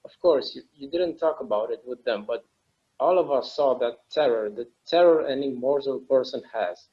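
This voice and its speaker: Guy acting as a thoughtful immortal man in a play reading, halting n earnest, mid pitch, kinda lo-fi muffled sound. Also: lightly acted. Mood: wistful, solemn. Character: wistful, reflective immortal man confiding in his partner. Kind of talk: naturalistic dramatic table read. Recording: slightly dull, lo-fi sound.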